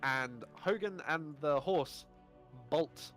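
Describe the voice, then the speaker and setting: A man narrating as game master, relaxed and often laughing. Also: on the high side, takes it slowly, soft background music. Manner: relaxed, laughing, lots of filler uh and um.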